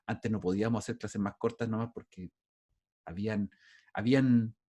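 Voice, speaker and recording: Chilean guy teaching over a video call, husky voice, kinda rambly, keeps restarting. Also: lowish voice, steady tone, normal speed, mellow. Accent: Chilean Spanish. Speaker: university lecturer. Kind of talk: online class lecture.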